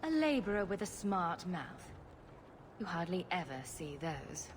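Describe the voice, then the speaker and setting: A woman playing a sarcastic, quick-witted rogue adventurer with a flippant manner in a video game. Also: fairly low pitch, big pitch swings, background noise. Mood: wry. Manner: wry, flippant. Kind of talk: video game dialogue acting.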